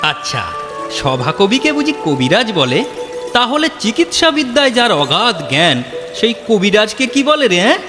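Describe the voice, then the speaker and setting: A dreamy young traveller with a poet's heart in a cartoon, his voice a touch high and rising and falling freely as he gently needles his friends. His voice rings with a long hall reverb over clear background music. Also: very animated, normal speed. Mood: wry. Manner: gentle, practical, teasing. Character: dreamy poetic young man who loves travel.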